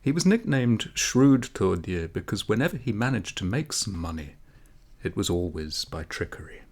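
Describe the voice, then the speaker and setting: A man reading a comic folk tale aloud as a storyteller, in a measured, expressive way. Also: lowish voice. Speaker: audiobook reader, storyteller.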